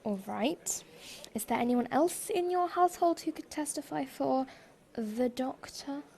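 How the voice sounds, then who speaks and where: Woman playing a strict lady store boss, kinda high voice, formal and talks down to people, audio drama. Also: creaky, a little mellow. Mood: businesslike. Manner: brisk, formal, condescending. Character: stern, exasperated woman boss of a department store. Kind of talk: fan audio drama acting.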